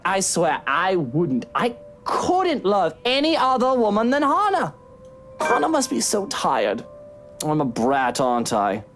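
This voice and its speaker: An actor playing a very drunk, maudlin husband in a visual novel, slurring and rambling melodramatically. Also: male voice, sits high, huge pitch swings, measured pace, a bit bright, faint music behind, exaggerated voice. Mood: drunken, aggrieved. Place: studio game voice track with music behind.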